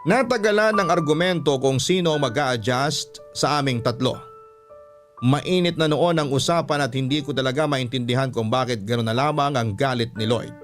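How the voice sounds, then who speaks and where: A male storyteller reads a first-person tale in classic radio style. His voice sits at a medium pitch over soft background music. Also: normal speed.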